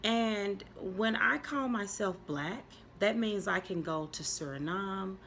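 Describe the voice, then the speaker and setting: A woman host giving reflective commentary in a thoughtful, measured way. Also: medium pitch, fairly bright tone, slight background noise. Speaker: host and interviewer.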